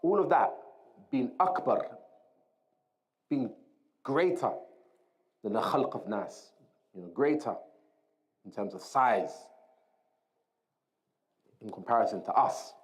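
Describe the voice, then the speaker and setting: A man's voice: medium pitch, animated, slow pace, pauses often. Man teaching a religious lesson, repeating key terms. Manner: mixes Arabic quotations into English explanation. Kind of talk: Islamic lesson explaining a text.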